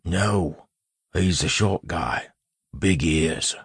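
Man playing a husky, nervous captured bandit. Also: low-pitched, very slow, dry recording, studio quality, voice pushed up, exaggerated voice. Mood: nervous, wary. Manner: hesitant, urgent. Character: tubby, nervous captured bandit, a male underling. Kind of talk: audiobook character acting.

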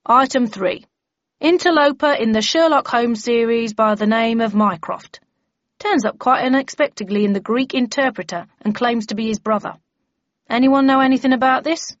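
An officious, stern male bellman, acted by a woman narrator declaims to his meeting in a storybook reading. The delivery is brisk and chairmanlike, recorded very dry with a slightly dull sound. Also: on the high side, no room sound, voice pushed up, close to an everyday voice.

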